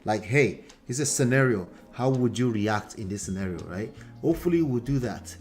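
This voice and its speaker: A man's voice: medium pitch, normal speed, soft background music. A man with a Nigerian accent, Yoruba as his first language, hosting a casual live talk on relationships and reading viewer comments aloud, repeating phrases for emphasis. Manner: animated, repeats phrases for emphasis, switches between loud appeals and quiet asides. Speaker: relationship coach and host.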